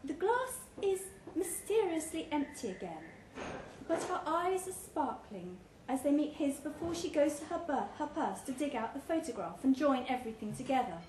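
A woman's voice: high voice, big pitch swings, moderate pace, darkish tone. A woman reading a short story aloud to a live event, expressively, giving life to the dialogue. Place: indoors, pub event room. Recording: roomy live pub recording, background noise.

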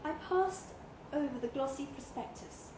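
A woman's voice: high-pitched, expressive, a little slow. A woman reading a first-person literary short story aloud at a live event in a pub. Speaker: story reader. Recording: roomy live pub recording, clear background noise.

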